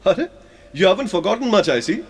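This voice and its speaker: A man's voice: high-pitched, sing-song, fairly quick, breathy edge, in a large hall, heavily acted. An actor with an Indian English accent playing a hesitant, emotional young man in an audio drama. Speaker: young man in a radio play.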